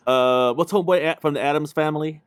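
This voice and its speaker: A man's voice: fairly high pitch, fast, smooth, dry recording. A man hosting a casual livestream chat in slangy, jokey talk, saying dude a lot. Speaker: show host.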